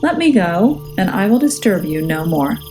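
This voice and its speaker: A woman voicing a tiny, meek male storybook mouse, squeaky, polite and pleading. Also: sing-song, moderate pace, warm tone, faint music behind.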